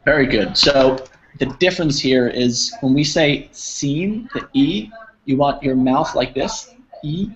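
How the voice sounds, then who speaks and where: A man's voice: mid-range voice, measured pace. An American man teaching an online English pronunciation class, using simple wording and checking with his learners. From United States, New Jersey. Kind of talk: live online group pronunciation lesson. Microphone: video call, close to computer. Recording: dull lo-fi online call, clear noise.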